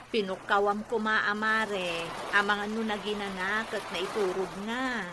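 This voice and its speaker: A woman acting an inquisitive Ilocano neighbour, mid-pitched. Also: fairly even intonation, a little slow, background noise, natural voice. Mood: concerned, probing. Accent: Filipino, Ilocano. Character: inquisitive neighbour woman, wife's close friend. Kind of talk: Ilocano radio drama acting.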